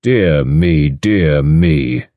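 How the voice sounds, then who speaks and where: A man voicing a fussy, excitable little elderly English gentleman with a prim, eager manner. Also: extremely low pitch, extremely slow, no room sound, phone-quality sound, voice pushed down, exaggerated voice. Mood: excited.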